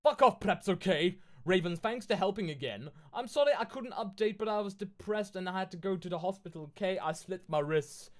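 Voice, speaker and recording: A man voicing a melodramatic, crude teenage goth girl in a shrill, overwrought way. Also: animated, a little fast, few pauses. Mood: gushing. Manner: shrill, breathless, overwrought delivery.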